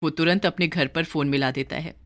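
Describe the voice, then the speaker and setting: A woman's voice: low voice, steady tone, fairly quick. A woman narrating a scripted moral story for a cartoon.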